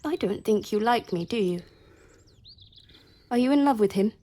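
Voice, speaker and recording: An actress in a radio drama playing a bitter, wounded young society woman, sardonic and intense, at times dropping into hushed tones. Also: mid-range voice, animated, normal speed, natural voice. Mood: bitter, challenging.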